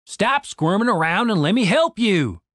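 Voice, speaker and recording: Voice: medium pitch, sing-song, moderate pace, fairly bright tone, no echo, studio quality, pitched above the performer's own voice. A narrator voicing a big young man, sardonic and exasperated, with a casual grumbling manner, as audiobook dialogue. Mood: alarmed.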